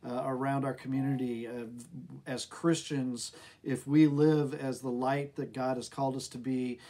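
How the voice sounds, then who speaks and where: A middle-aged man with an American accent speaks as a pastor. His voice sits at an average pitch for a man. He delivers a devotional talk to camera, recorded indoors in a small office. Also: fairly even intonation, moderate pace, mellow.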